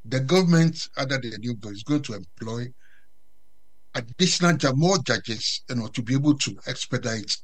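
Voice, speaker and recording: A man from Ghana giving unscripted commentary as a guest, halting and full of fillers and restarts. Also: mid-range voice, lively intonation. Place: UK resident.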